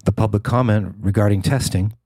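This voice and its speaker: Low-voiced man reading local news. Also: steady tone, brisk, mellow, husky. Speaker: podcast host and reporter. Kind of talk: scripted news narration.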